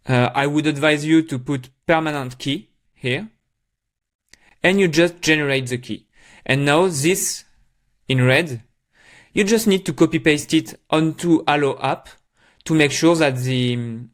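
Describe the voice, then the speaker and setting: Higher-pitched man giving software tutorial. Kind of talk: step-by-step tutorial narration.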